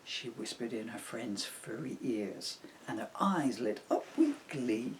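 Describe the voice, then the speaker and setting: Slow, highly expressive male storyteller. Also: a little hiss or hum. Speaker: author reading aloud. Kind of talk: children's storybook narration in rhyme.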